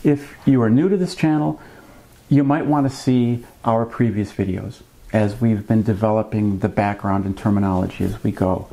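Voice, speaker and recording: A man in his fifties hosting a fishing vlog, explaining things to camera in a conversational, measured way with wry asides. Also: on the low side, mellow. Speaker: fishing channel host. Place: small indoor room at a desk with a computer.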